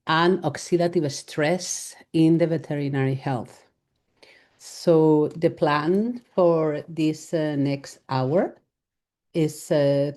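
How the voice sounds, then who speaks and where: Spanish-accented woman, low mellow voice. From Spain. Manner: hesitant, many fillers and restarts, laughs lightly. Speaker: veterinarian giving a lecture. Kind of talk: live lecture.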